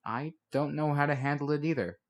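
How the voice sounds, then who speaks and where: A man reads as an earnest teenage girl in an audiobook. She is a brave, concerned friend, speaking casually and coaxing gently. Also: fairly high pitch, brisk, pitched above the performer's own voice, close to an everyday voice. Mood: concerned, supportive.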